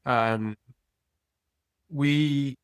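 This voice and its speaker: A man's voice: medium pitch, very slow, clear, resonant tone, dry recording. A man speaking as an interview guest in a casual, plain-spoken way, like an executive talking informally about work. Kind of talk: casual conversational interview answers.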